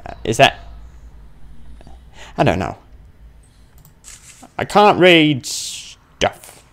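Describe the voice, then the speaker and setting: Animated, gravelly man doing game commentary. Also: fairly high pitch, drawn-out words. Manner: animated, grumbling, playfully exclaiming. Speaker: gamer playing a horror game on his channel. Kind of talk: casual let's play commentary, thinking aloud.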